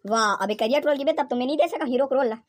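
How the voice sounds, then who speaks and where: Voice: high-pitched, rapid-fire, a little hiss or hum, lightly acted. A performer playing a bossy, scoffing cartoon village fellow casting a film, with a Chhattisgarhi accent and slangy teasing talk. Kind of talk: comedy cartoon dialogue in colloquial village speech.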